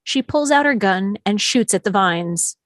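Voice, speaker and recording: A woman narrates a screenplay at a table read, reading out the action. Her pitch is average and the recording is close and dry, with little room sound. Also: expressive, a little slow, fairly bright tone, no room sound. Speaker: narrator reading stage directions.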